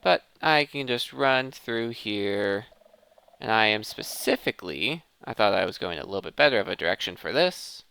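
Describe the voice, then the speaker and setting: A man's voice: on the low side, lively intonation, moderate pace, gravelly, pushed and bright, clear, resonant tone, dry recording. A man giving casual gameplay commentary, thinking aloud and often interrupting himself mid-thought. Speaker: gaming video host.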